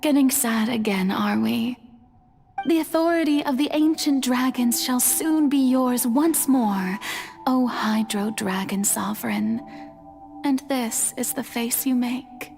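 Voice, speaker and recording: A woman voicing a centuries-old female god in a video game, serene and quietly resolute, with a teasing, theatrical, formal manner. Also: a little high, takes it slowly, vocal fry. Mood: playful, teasing. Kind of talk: dramatic video game voice acting. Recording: music behind the voice. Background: music.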